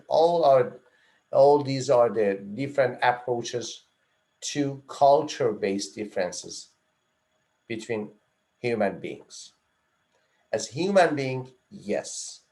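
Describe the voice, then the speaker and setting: A man giving an online psychology lecture, repeating and rephrasing his points and listing items one after another, with emphatic rises and drops in pitch. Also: gravelly, darkish tone. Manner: repeats and rephrases phrases, lists items in runs. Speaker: psychology instructor.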